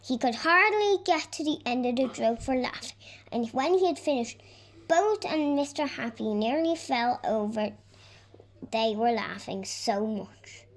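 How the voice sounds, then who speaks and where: Slow, high-voiced woman reading a storybook. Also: animated. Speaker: storybook reader. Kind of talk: scripted narration of a children's story.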